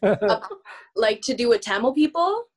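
A woman's voice: a little high, very animated, normal speed. A woman from Canada, a guest on a podcast, talking in a casual, earnest way and laughing easily. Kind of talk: casual chat.

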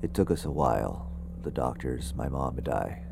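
An actor playing a haunted, uneasy man with seizures, confiding in a halting, introspective audio drama monologue. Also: a bass voice, expressive, creaky, background noise, heavily acted. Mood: anxious, reflective.

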